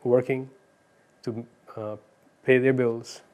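A man's voice: medium pitch, level tone, slow pace, a little mellow. A man hosting a casual studio interview, asking questions in conversational language. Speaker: interviewer, host. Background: plucked guitar music.

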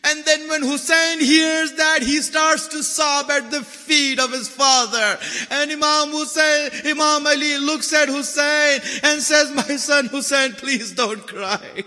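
A man's voice: very high-pitched, level tone, measured pace, pushed and bright. A man delivering an emotional mourning sermon as dramatic storytelling, plaintive and impassioned, repeating phrases for emphasis. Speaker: religious lecturer, preacher. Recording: long reverberant hall echo.

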